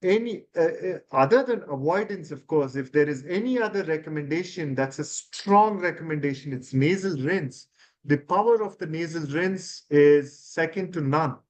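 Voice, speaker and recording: Male ENT specialist, fifties, from India; hesitant explainer, slightly high voice. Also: expressive, normal speed. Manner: explanatory, hesitant with fillers and restarts. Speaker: ENT surgeon guest. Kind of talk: expert interview answers.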